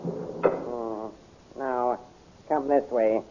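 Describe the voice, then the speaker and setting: A man's voice: medium pitch, fairly even intonation, very slow. A man playing a hesitant, grumbling court magician in an old radio drama, stammering with many ums. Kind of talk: 1930s radio drama acting. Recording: dull lo-fi old radio recording, faint hiss.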